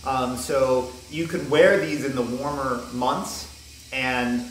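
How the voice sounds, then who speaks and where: A young man giving a relaxed, casual product review with frequent ums and restarts, recorded on a camera mic in a bare room. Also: mid-range voice, slow pace. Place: bare indoor room with wooden floor. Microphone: camera mic, near then from a distance. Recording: echoey room, faint hiss.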